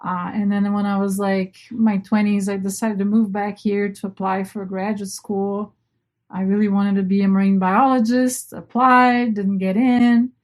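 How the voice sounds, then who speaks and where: A woman's voice: mid-range voice, little pitch movement, moderate pace. A middle-aged woman from Brazil, a native Portuguese speaker, talking casually as an interview guest and often rephrasing herself. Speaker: interview guest, marine biologist. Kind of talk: casual personal storytelling.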